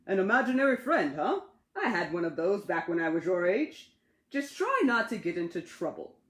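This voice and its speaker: A stern, fed-up father from a children's storybook, played by a woman. He scolds emphatically with big swings in pitch. The recording has a roomy echo. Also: sing-song, moderate pace. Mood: dismissive. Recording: roomy, echoey sound.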